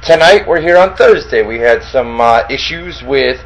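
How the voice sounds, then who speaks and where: A man's voice: mid-range voice, expressive, moderate pace, low-quality recording. Rambling man in his thirties. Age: 30s. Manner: rambling, repeats words and restarts often. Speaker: podcast co-host. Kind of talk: casual chat.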